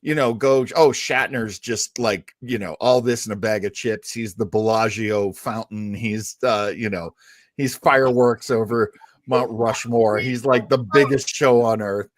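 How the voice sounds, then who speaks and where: A man hosting a casual podcast review discussion, talking in a thoughtful, halting way. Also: medium pitch, dry recording. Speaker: podcast host.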